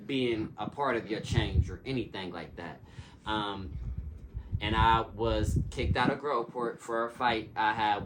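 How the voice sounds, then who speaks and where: Earnest man in a noisy interview. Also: lowish voice. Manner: earnest and emphatic, preacher-like repetition. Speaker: interview guest, youth mentor in recovery. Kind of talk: casual interview talk. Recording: noisy recording with clicks.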